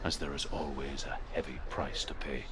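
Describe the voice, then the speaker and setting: A man playing a grave storyteller who narrates old legends in a video game with measured, dramatic delivery. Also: a bass voice, steady tone, a loud crowd. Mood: solemn, grave. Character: solemn male storyteller of old Norse legends. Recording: game audio with clear background noise.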